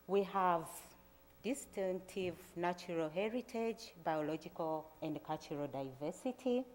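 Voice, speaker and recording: A middle-aged Tanzanian woman with a Tanzanian accent, giving a measured, plain presentation to an audience, with frequent pauses. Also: fairly low pitch, a little mellow. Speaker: agricultural researcher giving a talk. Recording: reverberant lecture hall.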